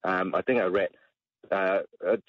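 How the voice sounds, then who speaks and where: Husky, low man, chatting haltingly. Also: fairly even intonation, dry recording. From Malaysia. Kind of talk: casual chat. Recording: dull, slightly muffled radio audio.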